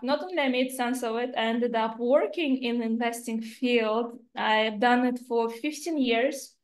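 Russian-accented woman in her thirties, interview guest, raised voice, earnest and a little halting, roomy laptop-call sound. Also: fairly high pitch. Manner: earnest, slightly halting phrasing. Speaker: guest investor. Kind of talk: remote interview. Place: home office indoors. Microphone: laptop camera on video call. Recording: roomy video-call audio.

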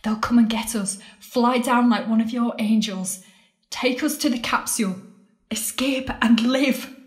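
A woman's voice: a little high, a little slow, close to an everyday voice. An actress playing a defiant, worldly woman in an audio drama, intense and sardonic as she challenges her lost love. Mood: urgent, persuasive. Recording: echoey, roomy sound.

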